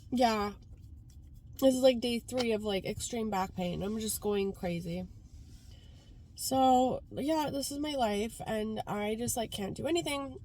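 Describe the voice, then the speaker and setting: A woman's voice: on the high side, a little slow, mellow, a little hiss or hum. A woman from Canada with a congested, stuffed-up voice, talking in a casual, rambling car vlog style full of "like". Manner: informal, rambling, frequent "like". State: congested from a cold. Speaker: vlogger. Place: sitting inside a car.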